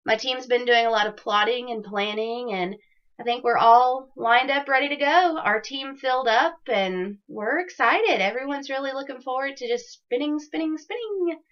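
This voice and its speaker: A woman with a somewhat high voice hosts a casual knitting podcast, chatty and upbeat with plenty of ums. She sounds a little raspy from a cold. The audio is dull and lo-fi. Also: normal speed. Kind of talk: casual video podcast chat.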